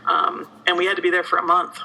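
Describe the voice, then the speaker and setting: Very bright-voiced woman, joking. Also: lowish voice, completely flat delivery, quick pace, gravelly, low-quality recording. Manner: joking, self-deprecating. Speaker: guest novelist being interviewed. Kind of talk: casual chat.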